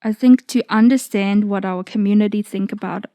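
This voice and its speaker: A woman's voice: mid-range voice, steady tone, brisk, mellow, dry recording, clean studio sound. A woman with an Australian accent, a speech pathologist hosting a podcast and asking short friendly questions. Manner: friendly questions and brief affirmations. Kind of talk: hosted podcast interview.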